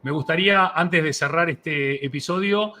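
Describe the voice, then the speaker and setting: Bright-voiced, effusive male interview host. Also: on the high side, normal speed, slight background noise. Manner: effusive, enthusiastic praise of guest. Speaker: host of a live interview show. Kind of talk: casual, unscripted interview chat.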